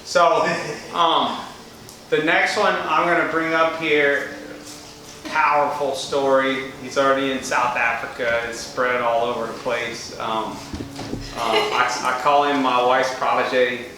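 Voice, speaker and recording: A man hosting a live event who introduces the next speaker in a rambling, halting way with many fillers. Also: medium pitch, little pitch movement, sharp tone. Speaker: event host. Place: large echoey event room. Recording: echoey room, distant mic, faint noise.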